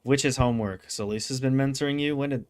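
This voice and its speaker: A young man streaming a game, reacting with excitable, slangy jokes and quick asides. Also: mid-range voice. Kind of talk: casual reaction commentary.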